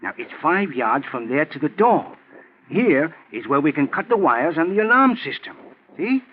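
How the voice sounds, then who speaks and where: Eager, animated, higher-voiced scheming crook. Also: male voice, moderate pace. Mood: insistent, eager. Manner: insistent, slangy, animated. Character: eager, scheming male small-time crook, pushy and persistent. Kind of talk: 1940s radio crime drama acting. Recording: tinny, phone-like 1940s radio recording, faint noise.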